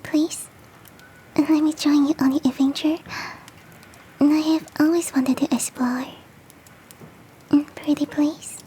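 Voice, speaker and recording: A woman voicing a big, sweet, doting female yeti in a soft, breathy, gently coaxing whisper, as ASMR roleplay. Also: sits high, steady tone, takes it slowly, pauses often, a little hiss or hum, low-quality recording. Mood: hopeful, eager. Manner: gentle, coaxing, affectionate, self-deprecating.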